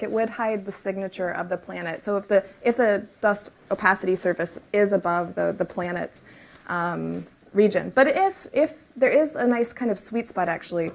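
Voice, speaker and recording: A woman presenting research at a conference talk, explaining step by step with some fillers, then answering audience questions. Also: mid-range voice. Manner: explains in measured technical steps with fillers. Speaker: research speaker. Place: lecture room.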